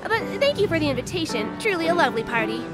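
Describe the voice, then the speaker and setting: A woman's voice: high-pitched, sing-song, fast talker, over music. A woman playing a cool, businesslike female bird gang member with a dry manner, in an audio drama. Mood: exasperated, polite. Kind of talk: amateur audio drama acting.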